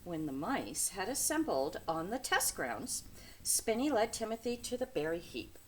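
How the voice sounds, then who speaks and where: A woman reading a children's picture book aloud with dramatic, deliberate phrasing, speaking to young listeners. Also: mid-range voice. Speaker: read-aloud storyteller. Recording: steady background noise.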